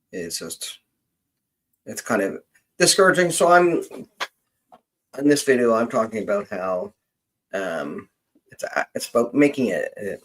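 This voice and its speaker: A man with a fairly low voice talks very slowly and casually, like a host thinking aloud on a live stream. He is hesitant and halting, often leaving sentences hanging as he works through his explanation. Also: rough recording. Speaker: genealogist hosting a live question session.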